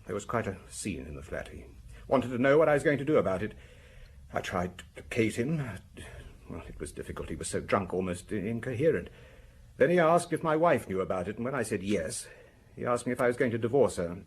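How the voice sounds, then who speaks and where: An actor playing a weary, defensive husband under police questioning in a radio drama, speaking hesitantly and explaining himself in broken sentences. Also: male voice, on the low side, big pitch swings, fairly quick, slightly rough. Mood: weary, candid.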